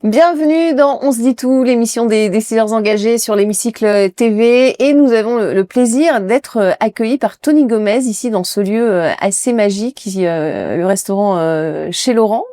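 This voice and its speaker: A woman's voice: on the high side, normal speed, hardly any pauses, mellow, dry recording, soft background music. A woman hosting a TV interview show in a chatty way with fillers, recorded in a restaurant. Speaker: TV host. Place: restaurant in Paris.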